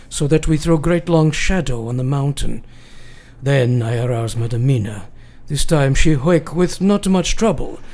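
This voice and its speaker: An actor playing a grave, devoted elderly foreign professor in a radio drama, speaking solemnly and deliberately in broken grammar. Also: male voice, mid-range voice, darkish tone. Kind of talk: radio drama acting, dramatic journal narration.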